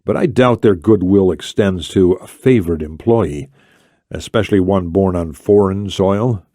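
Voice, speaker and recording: Man playing cool, low-voiced covert operative. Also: measured pace, dark tone, no echo, clean studio sound. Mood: decisive. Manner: casual, matter-of-fact.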